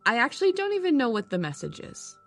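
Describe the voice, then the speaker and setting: A woman's voice: a little high, huge pitch swings, fast talker, a bit bright, faint music behind, heavily acted. A woman playing an earnest, flustered young circus adventurer in an audio drama, self-deprecating and a little hesitant. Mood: warm, awkward. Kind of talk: audio drama character acting and narration.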